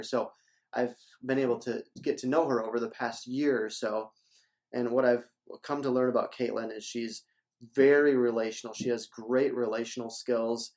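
A man's voice: mid-range voice, darkish tone. Upbeat young man hosting a church interview. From Minnesota. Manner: upbeat, promotional, repeats words. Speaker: church staff host. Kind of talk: casual church announcement interview.

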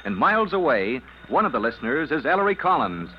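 A man's voice: medium pitch, very animated, brisk. Muffled male radio serial narrator. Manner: dramatic, storytelling. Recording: muffled old radio recording, faint noise.

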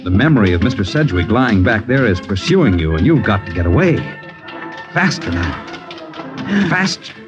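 An ominous, lowish-voiced suspense narrator, urging insistently with a sweeping delivery. It is a dull vintage radio recording with music behind him. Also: male voice, sing-song, normal speed. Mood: ominous, urgent. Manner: urgent, insistent. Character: ominous male narrator addressing the fugitive as you.

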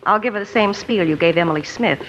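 A woman's voice: medium pitch, fast talker, a little hiss or hum, close to an everyday voice. An actress playing a jealous, pushy woman swindling for a cult, in 1950s radio drama style, on a dull old broadcast recording. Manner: sharp, needling, then sugary persuasion.

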